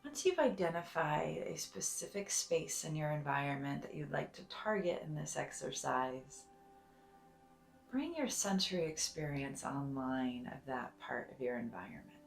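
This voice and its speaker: Slow, low-voiced woman guiding meditation. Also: expressive, vocal fry, echoey room. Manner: soothing, gentle, deliberate with long pauses. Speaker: meditation guide, therapist. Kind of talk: guided meditation. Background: soft music.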